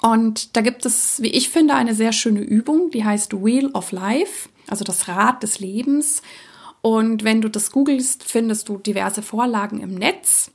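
Woman, podcast host, gravelly fry, slightly high voice, reflective, chatty, bilingual upbringing. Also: a little slow. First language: Czech-speaking parents, grew up bilingual. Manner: reflective, addresses listener directly, frequent fillers. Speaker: podcast host, soprano singer. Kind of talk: casual solo podcast monologue.

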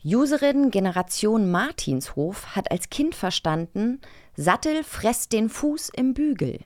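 A woman's voice: mid-range voice, big pitch swings, a little slow, no room sound, clean studio sound. A woman co-hosting a podcast in a casual, wry and playful chat style. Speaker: podcast co-host.

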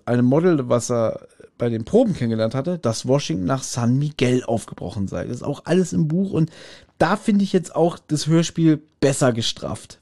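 Guy on a review podcast, relaxed mellow voice, just talking through a story casually. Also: on the high side. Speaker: podcast co-host. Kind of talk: casual review chat, retelling a plot.

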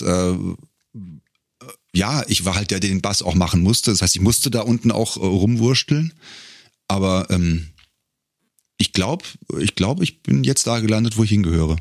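Casting: middle-aged male bass for relaxed, thoughtful interview chat, very deep, recorded dry. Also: no room sound. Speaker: podcast guest, bass singer and voice artist. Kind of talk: casual conversational interview.

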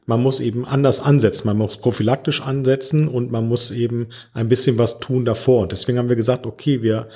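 A man's voice: mid-range voice, steady tone, quick pace, few pauses, warm tone. A man explaining things earnestly in a casual interview, as a teacher and social worker.

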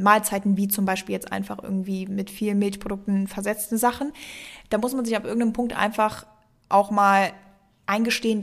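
A young woman co-hosting a casual podcast, chatting freely with many fillers and restarts and now and then slipping into English. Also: medium pitch. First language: German. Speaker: podcast co-host.

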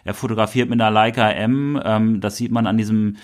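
A man's voice: fairly low pitch, fairly even intonation, brisk. A man co-hosting a podcast, talking casually and rambling with enthusiasm. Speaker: podcast co-host.

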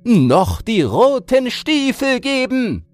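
A shrill village woman from a storybook, nagging in a very high voice. She scolds and threatens with swooping, insistent lines. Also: huge pitch swings, slow pace, no room sound, soft background music, heavily acted. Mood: threatening. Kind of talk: fairy-tale audiobook character voice.

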